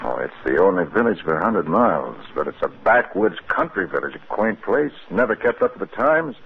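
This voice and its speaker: A resolute, rational American doctor in a 1950s science fiction radio drama. His delivery swings widely in pitch, and the recording is narrow and tinny with a faint hiss. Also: sing-song, a little fast, gravelly, full character voice. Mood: brisk, concerned. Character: resolute, rational male doctor leading a search.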